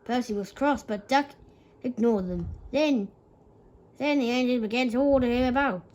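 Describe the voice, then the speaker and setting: A woman reading a children's bedtime story aloud, haltingly, stumbling and repeating words as she goes. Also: fairly high pitch, darkish tone, highs cut off.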